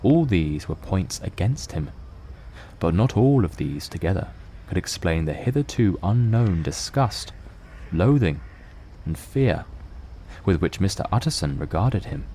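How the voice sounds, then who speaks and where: A man narrating a dramatic story from a script in a measured, literary manner, as the narrator of an audio drama. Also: deep voice, big pitch swings, mellow, a little hiss or hum, low-quality recording.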